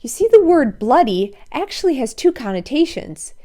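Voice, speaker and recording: A woman's voice: high voice, big pitch swings, normal speed, dark tone, faint music behind. A woman reading a children's story aloud expressively, with playful asides to listeners. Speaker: storybook read-aloud narrator.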